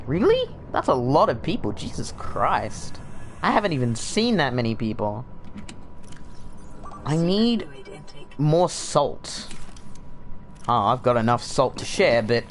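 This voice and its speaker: A man's voice: medium pitch, big pitch swings, slow, long pauses, soft background music. A young man with a laid-back Australian accent, chatting casually and sardonically while streaming a game, with lots of fillers. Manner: rambling, sardonic, frequent fillers. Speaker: gaming streamer.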